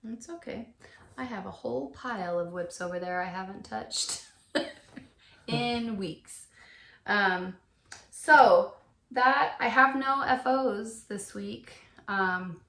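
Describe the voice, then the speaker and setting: A middle-aged woman, a little hoarse from shouting, co-hosting a casual podcast and gently asking questions. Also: mid-range voice, takes it slowly, reverberant. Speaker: podcast co-host. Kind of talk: casual chat.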